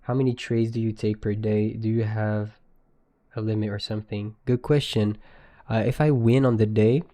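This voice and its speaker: A man's voice: lowish voice, fairly even intonation, moderate pace, dark tone. A young man in his twenties from Quebec with a Quebec French accent, a native French speaker, chatting casually and slangily with viewers on a live stream. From Quebec, Canada. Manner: loose, slangy, laughs easily. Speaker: day trader live streamer.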